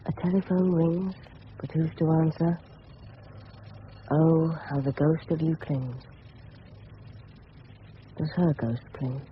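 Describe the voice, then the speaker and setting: A man's voice: a little high, steady tone, slow, long pauses. An actor playing an earnest, cocky English teenage boy in a radio drama, with a well-spoken southern English accent. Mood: wistful. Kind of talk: 1970s radio drama acting. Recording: dull, noisy old radio broadcast.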